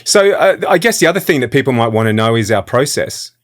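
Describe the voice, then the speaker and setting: A man's voice: medium pitch, sing-song, quick pace. A man in his fifties, an audio engineer and voice director, explaining his process in an informal, chatty way. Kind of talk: conversational explainer.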